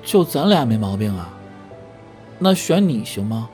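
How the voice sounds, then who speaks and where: Casting: grumpy, sharp-tongued young idol, expressive and curt, medium pitch, audio drama. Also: male voice, a little slow, faint music behind. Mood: grumbling. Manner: curt, grumbling. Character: irritable, sharp-tongued young male idol group member. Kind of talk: Chinese audio drama dialogue.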